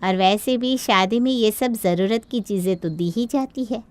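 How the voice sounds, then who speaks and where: A woman's voice: medium pitch, normal speed, darkish tone, dry recording, close to an everyday voice. A woman voicing an earnest middle-aged mother of a bride, eager to please, obliging and insistent, in a Hindi cartoon story. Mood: insistent, reassuring.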